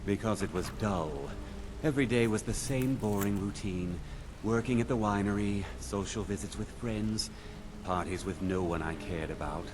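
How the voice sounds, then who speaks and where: Low-voiced roguish thief, acted by a man. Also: moderate pace, lightly acted. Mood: confiding, wistful. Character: suave thrill-seeking male thief, former rich winery heir. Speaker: video game character. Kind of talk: scripted game dialogue. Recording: clearly noisy background under game dialogue.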